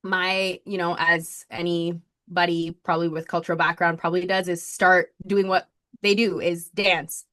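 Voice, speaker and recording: A woman's voice: on the low side, flat delivery, normal speed, a bit bright. A woman in her thirties from Colombia, a podcast guest telling her story in chatty talk full of fillers and likes. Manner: chatty, talks nonstop, many fillers and likes. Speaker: podcast guest, marketing strategist.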